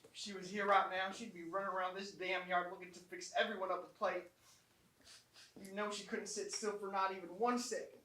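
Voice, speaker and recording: Woman playing a bright-voiced, earnest country sweetheart. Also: medium pitch, little pitch movement, a little fast, lightly acted. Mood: nostalgic, fond. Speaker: actor in a staged reading of a short play. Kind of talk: live amateur stage comedy acting. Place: small college theatre stage. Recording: roomy distant theatre mic, background noise.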